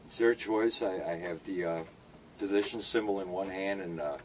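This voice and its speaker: A man's voice: sits low, moderate pace. A man in his fifties from Hungary, answering interview questions hesitantly, with many fillers and restarts. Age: 50s. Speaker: street paper vendor and artist. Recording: distant mic with faint background noise.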